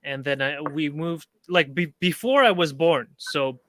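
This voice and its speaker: Bright-voiced man from Canada, halting delivery. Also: on the high side, no room sound. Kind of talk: casual personal anecdote in discussion.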